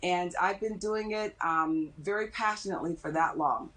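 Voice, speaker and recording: Lower-pitched woman explaining as an expert. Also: moderate pace, fairly bright tone, rough recording. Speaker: dentist interviewed as expert guest. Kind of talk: explanatory TV interview.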